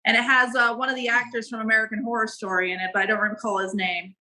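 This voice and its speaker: A woman's voice: on the high side, little pitch movement, fast talker, sharp tone, poor audio. A woman co-hosting a podcast in casual chat, recalling things plainly and a little loosely. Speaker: podcast co-host.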